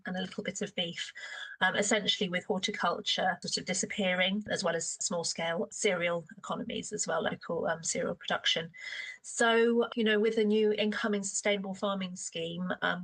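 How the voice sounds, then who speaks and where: A woman's voice: lowish voice, a bit bright, slightly rough, poor audio. A woman, a food partnership coordinator, explaining her work in an interview, thoughtful with fillers. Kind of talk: interview answer.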